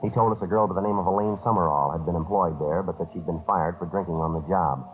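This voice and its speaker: Guy playing a deadpan american cop telling his case, talks quick, old muffled radio recording w/ music under it. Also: low voice, lightly acted. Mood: flat, factual. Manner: clipped, deadpan, matter-of-fact. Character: terse, methodical male police detective narrating his case. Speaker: narrator and lead actor. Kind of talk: 1950s American radio crime drama acting. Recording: dull old radio broadcast, music behind.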